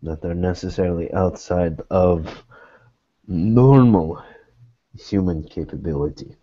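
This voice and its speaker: Slow, low-voiced man in measured role-play. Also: warm tone, highs cut off. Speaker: role-playing gamer voicing a private investigator. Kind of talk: slow in-character improvised dialogue.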